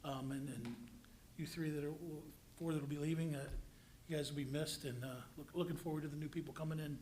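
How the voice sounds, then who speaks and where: A man with a higher voice gives a short council report. He speaks casually and rambles a little, with restarts. A faint rumble sits in the background. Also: fairly even intonation, a little fast, darkish tone, low-quality recording. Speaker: council member. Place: council chamber.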